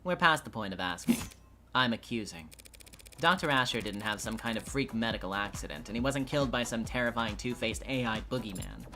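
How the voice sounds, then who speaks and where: A man voicing a sharp, sardonic defense counselor in a mystery game, cross-examining in a pointed, accusatory way. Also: fairly low pitch, lively intonation, a little fast. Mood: confident, accusing. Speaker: streamer voice acting a game playthrough. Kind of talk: dramatic live character reading of game dialogue. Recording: music behind.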